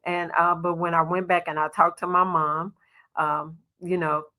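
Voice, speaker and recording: A middle-aged woman from Arkansas, a basketball coach, telling stories in a relaxed podcast interview with a soft voice and lots of "you know". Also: lowish voice, moderate pace. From Little Rock, Arkansas. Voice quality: soft-spoken. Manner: storytelling, warm laughs, frequent "you know". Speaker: associate head basketball coach, guest.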